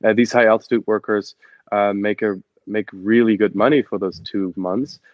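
A man's voice: fairly low pitch, fairly even intonation, moderate pace, no room sound, lo-fi sound. A young man from Germany with a German accent, telling his story as an interview guest with many fillers and restarts. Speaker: guest, adventure photographer and expedition guide.

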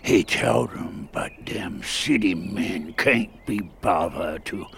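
A man playing a gravelly old squatter. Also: deep voice, slight background noise, full character voice. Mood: ominous. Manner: slow drawling, gravelly, drops to hushed whispers. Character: old backwoods mountain squatter man, superstitious and wary. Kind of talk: radio drama acting.

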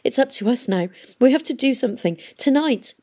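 A woman's voice: fairly high pitch, animated, a little mellow, voice pushed up. A woman voicing a distraught, resolute young woman in an audiobook story, pleading and emphatic. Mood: tearful urgency. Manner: pleading, emphatic, rushing into plans.

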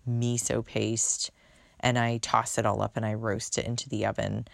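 A woman with a very low, soothing voice talks casually and chattily as a podcast host, swearing now and then. The sound is dry and close, with almost no room around it. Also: flat delivery, normal speed, vocal fry, no echo. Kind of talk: casual solo podcast chat.

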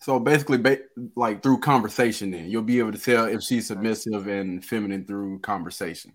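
A relaxed man with a somewhat low voice hosts a casual chat. He asks his guests questions in an easygoing way and laughs readily. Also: brisk. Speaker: host. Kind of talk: casual group discussion.

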